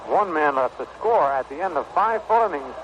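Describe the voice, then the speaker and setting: An American man calling baseball play-by-play in 1960s radio style, in measured, short phrases with pauses. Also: on the high side, lively intonation. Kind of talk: 1960s radio sports commentary. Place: stadium broadcast booth. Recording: noisy dull vintage 1960 radio broadcast.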